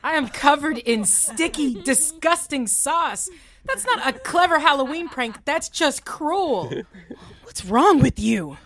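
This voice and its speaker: A woman's voice: sits high, expressive, slow pace, fairly bright tone, heavily acted. A woman playing a late-night radio host who turns from outraged to coldly menacing in an audio drama. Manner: indignant then coldly menacing. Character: female late-night radio host turned vengeful killer.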